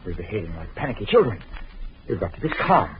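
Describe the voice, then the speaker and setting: An actor playing an anxious young man in a 1940s radio drama, urging calm while trapped. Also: on the low side, quick pace, background noise. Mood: urgent. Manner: urgent, reassuring then alarmed. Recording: dull old radio recording.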